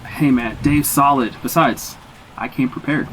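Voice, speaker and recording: A man's voice: mid-range voice, measured pace, a bit bright, a little hiss or hum, lightly acted. An actor playing a cocky, reckless young man in an audio drama, brash, teasing and slangy. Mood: cocky, eager.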